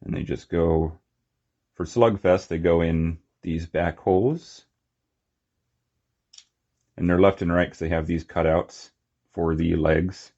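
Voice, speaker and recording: Deep, gravelly man, casual demo. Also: a little mellow, lo-fi sound. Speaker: toy collector and reviewer. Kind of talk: casual unscripted hands-on product demonstration.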